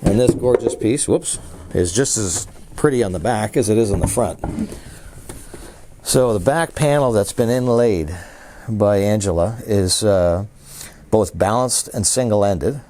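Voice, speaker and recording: Low, mellow-voiced man demoing audio gear. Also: measured pace, slight background noise. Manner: enthusiastic, explains features while handling gear. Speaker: audio dealer presenting a product. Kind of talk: casual product demo.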